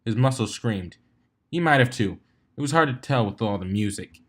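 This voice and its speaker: Male narrator, mid-range pitch, story reading, fan fiction audiobook style. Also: expressive, moderate pace, a bit bright.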